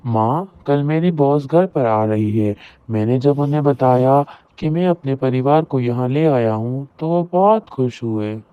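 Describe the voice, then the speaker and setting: A man's voice: mid-range voice, a little slow, hardly any pauses, close to an everyday voice. A man playing a proud, status-conscious young elder son in a cartoon moral story. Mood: concerned. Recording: roomy echo, very thin phone-like audio.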